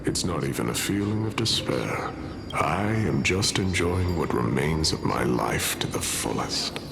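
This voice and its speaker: An actor playing a noble old warrior at peace with his death, speaking slowly and solemnly. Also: expressive, noisy background, full character voice. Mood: calm, noble. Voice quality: deep gravelly. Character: dying old warrior hero, calm and noble. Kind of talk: game voice acting. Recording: loud game music behind.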